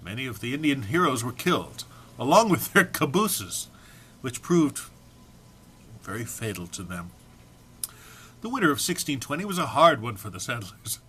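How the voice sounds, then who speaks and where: A man's voice: medium pitch, sing-song, normal speed, long pauses, sharp tone, slightly rough. A man giving an amateur, theatrical dramatic reading of a humorous text, prone to amusement and stumbles. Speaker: amateur reader.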